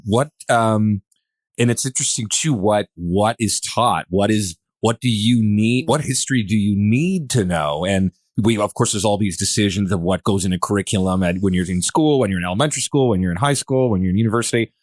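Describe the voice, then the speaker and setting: A man hosting a podcast, posing questions in a casual, rambling way with halting, restarted phrases. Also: on the low side, no room sound, clean studio sound. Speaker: podcast host.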